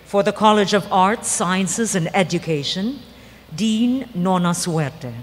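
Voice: medium pitch, takes it slowly. Formal emcee announcing in a hall. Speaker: ceremony emcee. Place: large ceremony hall. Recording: reverberant hall, faint noise.

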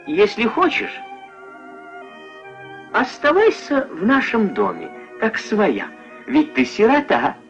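A man's voice: high voice, wildly swooping pitch, slow pace, long pauses, fairly bright tone, faint music behind, voice pushed up, exaggerated voice. A man voicing a kind, modest woodcutter's mother in a puppet fairy-tale film, homely and insistent. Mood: kindly. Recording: muffled old film soundtrack.

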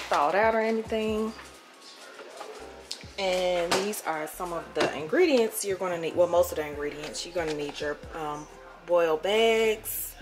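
A young woman giving relaxed, chatty cooking instructions in her home kitchen, filmed on a camera mic. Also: lowish voice. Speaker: cooking vlogger. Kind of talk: casual cooking walkthrough. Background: background music.

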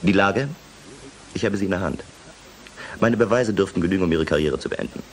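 A man's voice: low-pitched, lively intonation, a little fast, rough recording. An actor playing an embittered young doctoral student in a German radio play, sarcastic and taunting as he turns to sly blackmail. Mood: smug, taunting.

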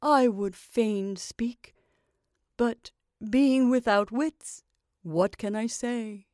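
Storybook scarecrow, male, timid and feeble played by a woman; stifled, breathy murmur, slow halting delivery, dry close sound. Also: fairly high pitch, a little mellow, no echo. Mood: meek, fearful.

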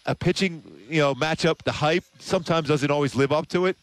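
Fast-talking male sports analyst. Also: on the high side. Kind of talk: conversational sports commentary. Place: open broadcast desk at a ballpark concourse. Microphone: headset.

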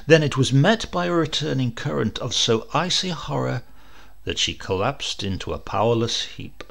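Man, gravelly creak, mid-pitched, dramatic horror narration, theatrical, suspenseful. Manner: theatrical, suspenseful delivery with emphatic swells and hushed drops. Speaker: audiobook narrator.

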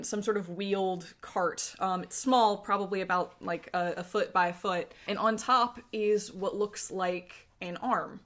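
A woman game master narrating a tabletop roleplaying game, setting scenes in a descriptive, measured way. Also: medium pitch, fairly bright tone.

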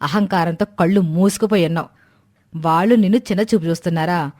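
A woman's voice: on the low side, quick pace, a little mellow, close to an everyday voice. A woman voicing a stern, plain-spoken middle-aged mother-in-law in a family story, reproachful in manner. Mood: scolding. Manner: reproachful, scolding.

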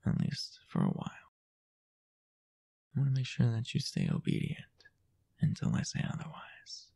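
A young man playing a possessive, jealous, dominant boyfriend in an intimate audio roleplay, halting and teasing, often dropping toward a whisper. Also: medium pitch, huge pitch swings, dark tone, heavily acted. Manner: halting, teasing, breathy half-whispered asides.

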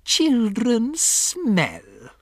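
A storybook narrator voicing a furious, imperious female witch leader, shrieking with drawn-out, emphatic words. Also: medium pitch, huge pitch swings, slightly rough, dry recording, clean studio sound, exaggerated voice. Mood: shrieking rage. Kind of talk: theatrical storybook character voice.

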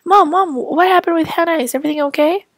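A woman's voice: extremely high pitch, fast talker, dry recording, studio quality. A woman voicing a young child sibling who teases, then makes up. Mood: excited. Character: child sibling, teasing but sorry.

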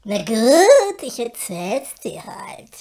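A woman's voice: fairly high pitch, sing-song, takes it slowly, mellow, heavily acted. A woman playing a vain, flighty, chatty fairy in a comedy audio drama, with theatrical, airy delivery. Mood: sheepish. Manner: theatrical, exclaiming, airy, shifting to chatty explaining.

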